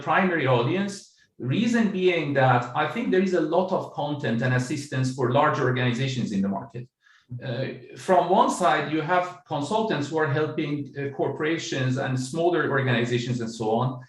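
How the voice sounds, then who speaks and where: A middle-aged man from Iran, an author and business coach, answering interview questions over a video call in a measured, explanatory way with many fillers. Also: a little high, echoey room, recorded from a distance.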